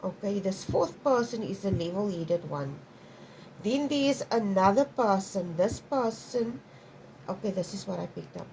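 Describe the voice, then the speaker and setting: A woman's voice: medium pitch, expressive, a little slow, a little mellow, slight background noise, low-quality recording. A woman whose first language is Afrikaans, giving a rambling intuitive tarot reading and often checking in with "okay?". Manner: rambling, many restarts and frequent "okay?". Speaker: tarot reader.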